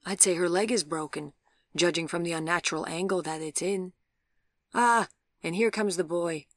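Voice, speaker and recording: A woman voicing a polite, concerned gentleman bystander in a storybook, brisk, courteous and well-spoken. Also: on the low side, no echo, studio quality, close to an everyday voice. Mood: matter-of-fact, sympathetic. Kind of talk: audiobook character voice.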